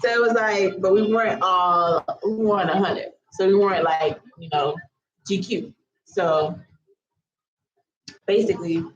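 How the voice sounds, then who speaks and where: A woman's voice: mid-range voice, muffled recording. A woman of about thirty, telling a personal story casually in a chatty, slangy way full of asides. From US Virgin Islands. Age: around 30. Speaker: storytelling vlogger.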